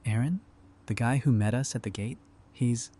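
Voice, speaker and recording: A man's voice: mid-range voice, moderate pace, warm tone, natural voice. A man playing an easygoing, pragmatic apocalypse survivor in a fan audio drama, speaking in a casual, wry way. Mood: teasing, wry.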